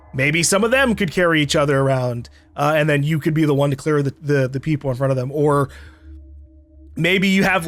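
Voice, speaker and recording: Rambling higher-voiced man reviewing a game. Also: fairly bright tone. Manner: rambling, halting, frequently circling back. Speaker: gaming video host. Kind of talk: casual off-the-cuff game review commentary. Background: music behind the voice.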